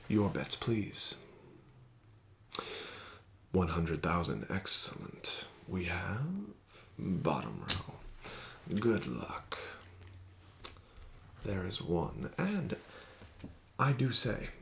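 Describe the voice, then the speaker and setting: A man doing an ASMR casino dealer roleplay, with smooth, flattering, unhurried patter. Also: sits low, a little hiss or hum.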